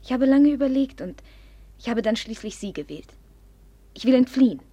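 A proud, jealous young noblewoman in an old radio drama, her voice fairly high and breathy. She swings between imperious outbursts and hushed confidences. The sound is close and dry, with no room around it. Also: expressive, no echo, lo-fi sound. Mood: earnest, fervent. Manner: imperious, quick to flare, then hushed. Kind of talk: 1950s radio play acting.

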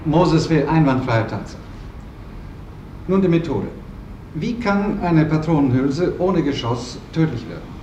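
An actor playing a formal, sceptical state prosecutor in a science fiction radio drama, measured and doubting. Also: male voice, a little high, lively intonation, a little mellow, lo-fi sound. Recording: echoey room, distant, faint noise.

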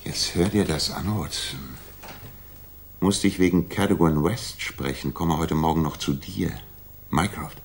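An actor playing a restless, sharp-minded gentleman detective, theatrical and articulate, in a 1960s radio drama. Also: a bass voice, unhurried, vocal fry, a little hiss or hum, exaggerated voice. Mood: eager.

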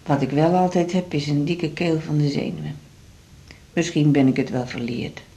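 An actress voices an older female patient with a dark, lowish voice and a Netherlands Dutch accent. She is anxious and proud, frank in a halting, motherly way, in radio drama style. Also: natural voice. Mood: wry, resigned.

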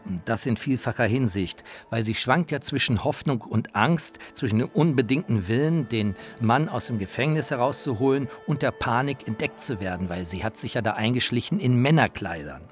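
A man's voice: mid-range voice, expressive, normal speed, hardly any pauses. A man talking about opera in an emphatic, reflective way, as the author and presenter of a radio feature. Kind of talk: personal spoken commentary on opera. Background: opera music.

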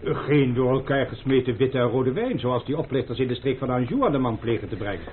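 An actor playing a formal, pedantic servant and food connoisseur, deferential and precise, in a historical radio play. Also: male voice, medium pitch, fairly quick, close to an everyday voice. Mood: fussy, knowing. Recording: tinny, band-limited old radio drama with noise.

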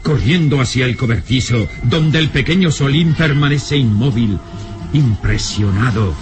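A man's voice: mid-range voice, lively intonation, unhurried, close to an everyday voice. Man as solemn radio serial narrator over music. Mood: solemn, dramatic. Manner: dramatic, formal, emphatic phrasing.

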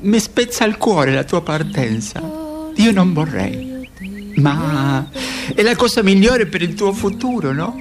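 A woman's voice: lowish voice, big pitch swings, a little slow, music in the background. Nagging, lamenting mother. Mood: heartbroken, pleading. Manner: scolding, pleading, dramatic. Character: lamenting, nagging Argentine mother of a grown son. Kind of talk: radio play dialogue.

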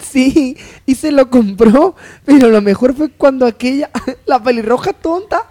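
A woman playing a bossy, lively, teasing sister in a radio soap opera. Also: sits high, a little slow. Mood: gossipy.

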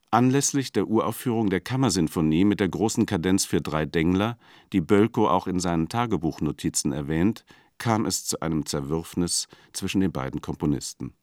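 An actor playing a learned, earnest editor who reads out biographical commentary in a dry, pedantic, formal manner in a radio play. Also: male voice, low-pitched, darkish tone, no room sound.

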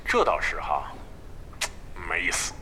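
A man's voice: sits low, wildly swooping pitch, a little slow, slight background noise, exaggerated voice. A man playing a brash, cocky gamer in an audio drama, swaggering and slangy in manner. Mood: curious, deflated. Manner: swaggering, loud-mouthed, slangy. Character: brash, cocky, shameless male gamer buddy. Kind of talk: comic audio drama acting.

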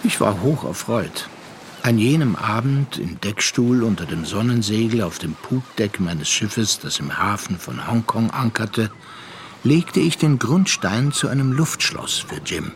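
An actor playing a sea captain who narrates a radio drama in a reflective, literary storytelling manner. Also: male voice, on the low side, expressive, a little slow, slight background noise. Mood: pleased, reflective. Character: seafaring ship captain recounting his friend's story. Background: music.

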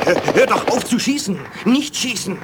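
High-voiced, urgent, humane soldier. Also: male voice, expressive, moderate pace. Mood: urging, principled. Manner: urgent, pleading, principled. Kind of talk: 1980s German sci-fi radio play acting. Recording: clear battle and vehicle noise behind.

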